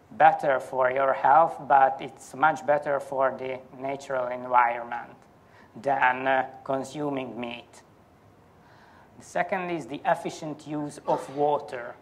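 Slow, careful male conference lecturer. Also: mid-range voice. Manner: halting, careful, deliberate delivery. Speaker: academic conference speaker. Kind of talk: formal lecture presentation. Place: indoor university lecture hall.

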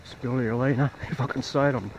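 A man's voice: mid-range voice, steady tone, fast talker. A man filming his own night hike on a bush trail, chatting to himself and to animals he passes. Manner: rambling, talks to himself and to animals. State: a bit dry mouthed. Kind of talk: casual self-narration. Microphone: handheld camera mic. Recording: dull outdoor camera audio with wind noise.

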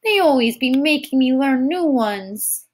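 A woman's voice: high-pitched, expressive, measured pace, voice pushed up. A woman voicing a tiny, feisty toddler girl with childish, rustic, ungrammatical speech in an audiobook. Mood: unhappy complaint. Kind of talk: audiobook character voice.

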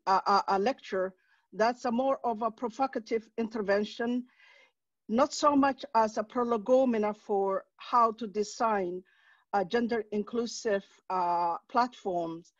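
A woman's voice: mid-range voice, no room sound. Somali-accented woman academic, middle-aged, hesitant lecturer, muffled headset call sound. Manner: halting, many fillers and restarts. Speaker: guest lecturer, professor. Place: home room, indoors. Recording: dull dry video-call audio.

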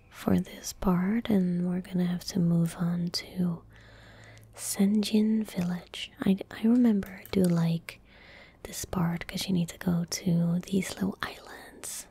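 A woman in her twenties giving gentle ASMR game commentary in a soft, breathy whisper, close to a desktop microphone. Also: on the low side, fairly even intonation. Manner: gentle, unhurried, murmuring. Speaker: streamer playing a video game. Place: small indoor room at a desk. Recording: close-miked with faint background noise. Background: faint game ambience.

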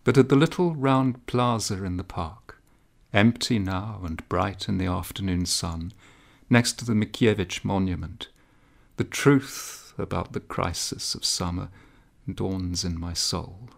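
Slow, gravelly male reader. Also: sits low. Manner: measured, deliberate phrasing. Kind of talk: literary prose reading.

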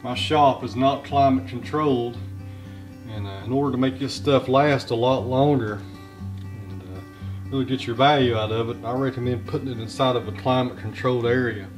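A relaxed man in his thirties talks casually about his day and his woodworking. His voice sits at an ordinary middle pitch, easygoing and plainspoken. He talks to a handheld camera outdoors in the woods and later in a small workshop. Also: normal speed, soft background music. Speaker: woodworker and channel host.

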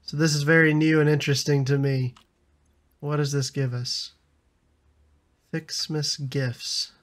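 A man in his thirties with a slightly raspy voice from a lingering cough, chatting casually over a building game and laughing often. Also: on the high side, level tone, measured pace. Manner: chatty, laughs often, frequent ums and restarts. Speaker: gaming YouTuber. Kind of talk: casual gameplay commentary.

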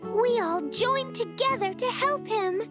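A woman voicing a small, sweet young animal in a children's cartoon, with an earnest, gentle, childlike manner. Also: extremely high pitch, measured pace, over music.

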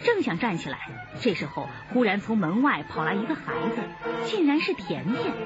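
A woman's voice: a little high, huge pitch swings, moderate pace. A woman narrator reading dramatic scripted narration for a radio drama. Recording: dull sound under music.

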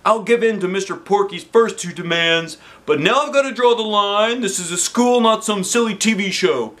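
A man voicing a frantic school principal, shouting indignantly in a high squeaky voice. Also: moderate pace. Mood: outraged.

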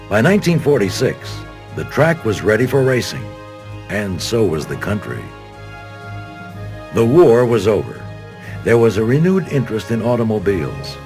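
An American man reading scripted documentary narration as a studio voice-over. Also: fairly low pitch. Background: background music.

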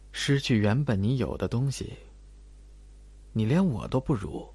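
Audiobook role: mocking, manic young schoolgirl performed by a man, erratic and very animated. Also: mid-range voice, breathy edge, dry recording, full character voice. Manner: sneering, taunting, erratic. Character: spiteful young female student, manic and mocking.

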